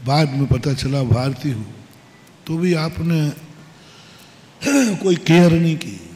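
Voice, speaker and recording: Slow, echoing preaching monk. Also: male voice, fairly high pitch, big pitch swings, darkish tone. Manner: slow, emphatic preaching with rhetorical questions and repeated maxims. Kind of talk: sermon. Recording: long hall reverb.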